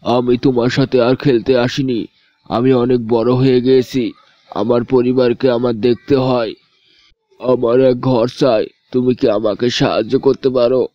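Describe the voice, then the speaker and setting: A man's voice: mid-range voice, flat delivery, unhurried, no echo, lo-fi sound, natural voice. A man voicing a demanding, self-centred grown man in a cartoon fairy tale, insistent and dismissive.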